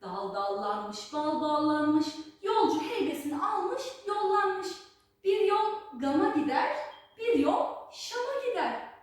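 A woman's voice: very high voice, very animated, slow pace. A woman telling a children's folk tale as a storyteller, with rhythmic, rhyming, theatrical delivery. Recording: very echoey space, distant microphone.

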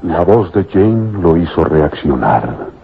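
A man narrating an old radio serial in a solemn, measured, dramatic style. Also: low-pitched, very animated, creaky, very noisy. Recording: tinny old radio recording with music.

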